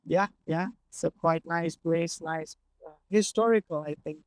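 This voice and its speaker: A man in his thirties from Afghanistan, answering interview questions in a calm, measured way with some hesitation. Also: on the high side, no echo. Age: 30s. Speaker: guest, rideshare driver.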